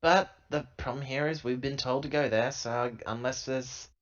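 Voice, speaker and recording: A man's voice: mid-range voice, steady tone, moderate pace, lightly acted. A man playing a weary, dutiful corporate agent in a tabletop sci-fi game, hesitant and resigned as he reasons things out. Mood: reasoning, firm. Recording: dull, lo-fi laptop recording.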